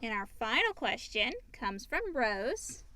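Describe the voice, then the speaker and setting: A woman's voice: fairly high pitch, very animated, slow, bright, forceful tone. A woman co-hosting a podcast, reading questions and chatting casually. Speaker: podcast co-host. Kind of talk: casual Q&A chat.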